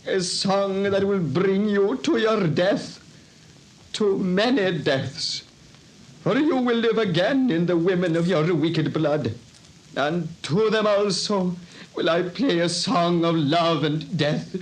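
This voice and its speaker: A dying violinist speaks his curse slowly and solemnly in a vintage radio play. His voice sits a little high colours every word. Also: rough recording. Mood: vengeful. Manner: slow, solemn and vengeful. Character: dying Italian violinist cursing his betrayers. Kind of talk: 1930s radio acting.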